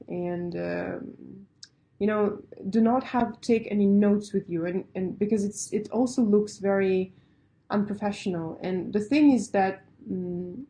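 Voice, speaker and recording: A woman whose first language is Russian, coaching startups on pitching in a direct, emphatic way, stacking repeated phrases. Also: dark tone.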